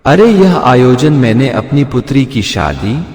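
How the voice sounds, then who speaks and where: A man's voice: medium pitch, big pitch swings, a little slow, a little mellow. A man voicing an aging, pious king who speaks in solemn, formal declarations in a storybook tale. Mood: solemn, decisive. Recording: echoey hall-like reverb.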